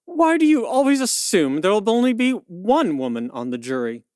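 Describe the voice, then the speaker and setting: A woman playing a firm, sharp-witted suffragist attorney of 1911, arguing with pointed retorts in a radio play. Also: mid-range voice, very animated, normal speed, no echo, clean studio sound, close to an everyday voice. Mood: indignant, pointed. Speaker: debate guest. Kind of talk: pseudo-radio play, argumentative debate.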